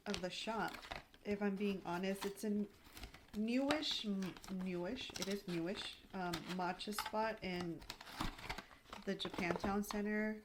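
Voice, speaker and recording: A woman in her thirties chatting casually at home in a rambling vlog, full of "like" fillers, her throat a little raspy. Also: mid-range voice, unhurried, noisy background. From United States. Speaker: lifestyle vlogger. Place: home, indoors.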